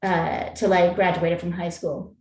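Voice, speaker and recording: A woman's voice: on the low side, fairly even intonation, a little slow, a little mellow, roomy sound, a little hiss or hum. A woman in her forties from Seattle, an art teacher chatting with filler words and encouraging students over a video call. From Seattle, USA. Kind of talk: casual chat and teaching.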